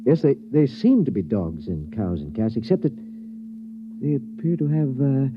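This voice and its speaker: An earnest, hesitant professor played by a man in a mid-century radio drama. His delivery swings widely in pitch, halting and full of wonder. The recording is thin and tinny, with audible hum and noise. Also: sing-song, close to an everyday voice. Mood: fascinated, amazed. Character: earnest male professor, veteran scientist, hesitant and astonished.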